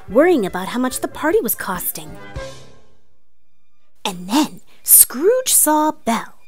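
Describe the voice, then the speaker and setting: A woman's voice: high-pitched, a little mellow. A woman playing an earnest, theatrical narrator of a storybook read-along. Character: earnest theatrical storyteller, comic author-narrator persona played female.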